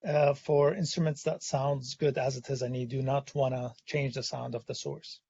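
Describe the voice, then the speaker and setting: A hoarse man who has lost his voice presents a technical webinar, pausing often with "uh" fillers. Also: mid-range voice, a little mellow, no echo, lo-fi sound. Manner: hesitant, many "uh" fillers. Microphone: studio condenser microphone.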